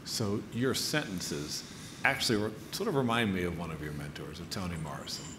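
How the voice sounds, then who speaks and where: A man's voice: low-pitched, expressive, fairly quick. A man interviewing an author on stage, speaking admiringly and emphatically and repeating words for effect. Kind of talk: literary conversation with quoted passages. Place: live stage event before an audience. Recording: echoey hall with clear background noise.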